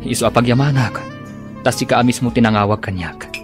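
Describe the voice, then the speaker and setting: A man playing an apologetic, courting young gentleman with an Ilocano accent in a radio drama. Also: medium pitch, a little fast, over music, close to an everyday voice. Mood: apologetic, wooing.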